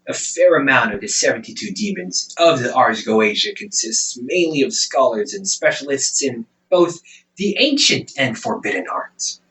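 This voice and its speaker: A man's voice: mid-range voice, bright, forceful tone, recorded from a distance, rough recording. A man playing a smug, ancient demon older brother in an audio roleplay, sarcastic and condescending, with a conversational drawl.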